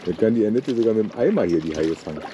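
A man's voice: expressive, racing. A man in his sixties narrating a casual sailing vlog from a boat in a lagoon, teasing his partner as he films. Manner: wryly teasing, swings widely in pitch. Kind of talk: casual running commentary while filming. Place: outdoors on a sailboat in a lagoon. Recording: outdoor wind and water noise.

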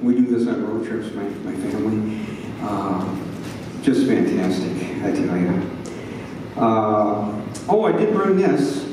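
A man's voice: fairly low pitch, expressive, takes it slowly. Older man doing stand-up in an echoey room. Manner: rambling, folksy, checks in with the audience. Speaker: stand-up comedian at an open mic. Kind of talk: stand-up comedy. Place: small bar stage, indoors. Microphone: handheld mic through speakers, recorded by a far camera. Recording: echoey room, distant, noisy.

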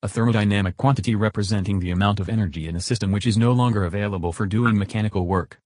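A man's voice: lowish voice, racing, a little mellow, dry recording, clean studio sound, lightly acted. A man playing an earnest, philosophical chatbot in an interview podcast, answering in a succinct, matter-of-fact way. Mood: earnest, measured.

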